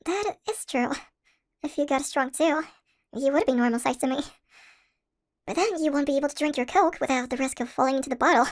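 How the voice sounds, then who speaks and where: Casting: woman to play mouse-sized young college woman, very high voice, playful, fond, for audio roleplay. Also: a little fast, natural voice. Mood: playful, teasing. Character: tiny shrunken young college woman, playful affectionate crush. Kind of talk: scripted ASMR audio roleplay.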